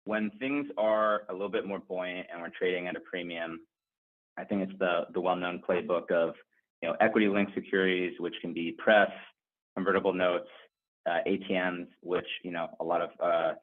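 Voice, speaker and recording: Low-pitched man on a phone-like line. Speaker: company executive answering analyst questions. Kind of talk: earnings call answers, unscripted. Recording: narrow, phone-like conference call line.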